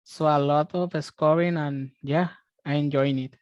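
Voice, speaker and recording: A man who speaks natively reads an English text as a student. His delivery is slow, with stumbles over words. The sound is dry, without room echo. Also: on the high side, steady tone, a little mellow, clear, resonant tone, dull audio. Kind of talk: reading aloud in class.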